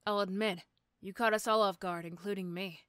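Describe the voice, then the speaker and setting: A woman plays a female supervillain for an ASMR roleplay, threatening and teasing in the same breath. She is sardonic and begrudgingly fond, and the sound is dry and close, at a medium pitch. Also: fairly even intonation, fairly quick, a bit bright, clean tone, no echo, lightly acted. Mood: grudging, teasing.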